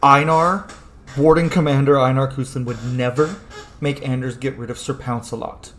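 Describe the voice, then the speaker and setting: A man's voice: mid-range voice, fairly even intonation, normal speed, fairly bright tone. A man giving casual let's play commentary on a video game, chatty and sweary with frequent asides. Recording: echoey room with faint background noise.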